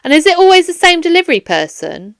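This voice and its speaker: A woman's voice: very high voice, big pitch swings, creaky, fairly bright tone, dry recording, studio quality, voice pushed up, full character voice. A woman voicing a firm, formal police detective questioning a suspect in a children's audiobook. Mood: probing. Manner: formal, measured, stern. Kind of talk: children's audiobook character dialogue.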